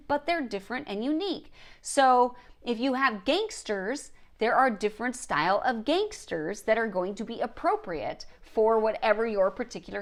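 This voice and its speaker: A woman with a fairly high voice speaks as a coach giving a lesson. She lays out each point deliberately, leaning on key words for emphasis. Her delivery is instructional and addressed straight to her students. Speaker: writing coach and show host. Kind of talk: instructional talk to writers.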